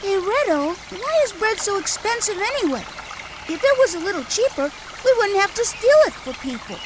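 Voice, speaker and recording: A woman's voice: extremely high pitch, big pitch swings, normal speed, noisy background. A woman with an American accent playing a naive, eager, cheerful little boy in a video game dub. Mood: curious. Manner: eager, inquisitive.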